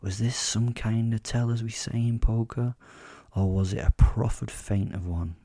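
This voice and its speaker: Male narrator, wry werewolf storyteller for audio drama. Mellow, lowish voice, measured and pause-heavy, dry recording. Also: fairly even intonation, no echo. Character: werewolf telling his life story, wry and boastful. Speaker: narrator and host of a fiction podcast.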